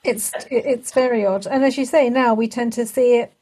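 Female interviewer, fairly high but mellow voice, easy conversational delivery. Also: normal speed, slightly rough. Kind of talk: casual conversation.

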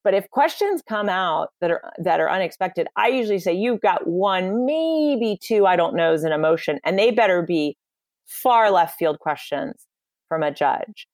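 A woman speaking with a fairly low pitch, confident and emphatic as she coaches on communication. Her voice is close and dry, with no room sound behind it. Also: lively intonation, moderate pace, darkish tone, no room sound. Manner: confident, emphatic, advice-giving. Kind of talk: podcast interview answers.

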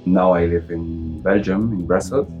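A man with a Tunisian accent speaking halting non-native English in a casual interview as a musician. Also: low voice, fairly even intonation, narrow, tinny audio, soft background music. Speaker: musician interviewee, oud player and violinist.

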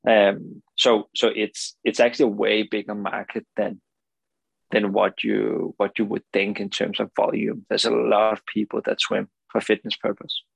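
A man's voice: on the low side, little pitch movement, normal speed, gravelly, breathy edge, no echo, low-quality recording. A young man from Denmark with a Danish accent, earnestly explaining his company in an interview and often tagging his points with "right?". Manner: earnest, many restarts and "right?" tags. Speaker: founder of a swim goggle company. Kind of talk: podcast interview.

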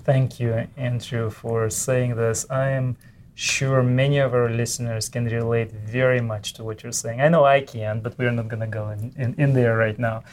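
A man's voice: mid-range voice, level tone, moderate pace, a little hiss or hum. A man hosting an interview podcast, speaking directly to listeners in a welcoming manner.

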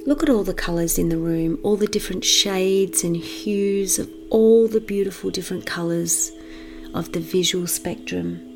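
A woman leading a guided meditation for children in a gentle, measured manner with long pauses. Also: lowish voice, faint music behind.

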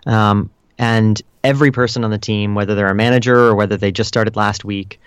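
A man answering interview questions as a guest, explaining things in a measured, conversational way with casual hedges. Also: on the low side, fairly even intonation, clear, resonant tone, no room sound. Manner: explanatory, measured, hedging with "kind of" and "you know". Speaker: interview guest, customer support director.

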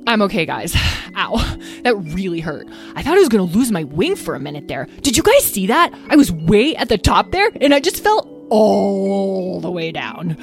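A woman voicing an excitable, rambling, panicky young male dragon in a children's story. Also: mid-range voice, moderate pace, creaky, soft background music. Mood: dazed, rambling. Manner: breathless, rambling exclamations.

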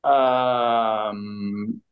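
A man in his thirties from Ecuador, a guest on a podcast who speaks with frequent pauses and restarts. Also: lowish voice, steady tone, clear, resonant tone, narrow, tinny audio. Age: 30s. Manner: halting, with fillers and restarts. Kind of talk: casual interview.

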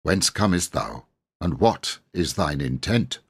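A man's voice: low-pitched, lively intonation, slow pace, gravelly, slightly rough, full character voice. A man voicing a kindly, gallant medieval knight who declaims in pompous, courtly, archaic fashion for a storybook.

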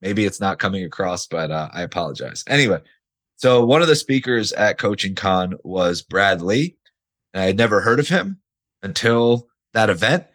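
A man hosts his solo podcast in a rough, hoarse voice, close to losing it. His voice sits fairly low, and he talks casually, hitting his points hard and swearing now and then. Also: expressive, normal speed, a bit bright. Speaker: podcast host.

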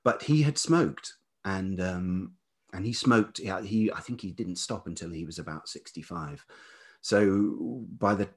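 Low-voiced, husky older man, thoughtful. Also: lively intonation. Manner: hesitant, halting, thoughtful. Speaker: podcast guest, writer and musician. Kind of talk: reflective personal storytelling in an interview.

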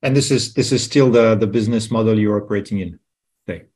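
Husky-voiced male podcast host. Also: on the low side, fast, darkish tone. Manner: stumbling, repeats words. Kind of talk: podcast interview hosting.

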